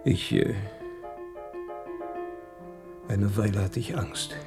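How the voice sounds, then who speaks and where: An actor playing an uneasy, hesitant wealthy heir who gambles, in a German radio drama. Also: male voice, fairly low pitch, creaky. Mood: wary, hesitant. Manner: hesitant, halting. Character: uneasy rich young male gambler, heir to millions. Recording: studio radio play with music behind.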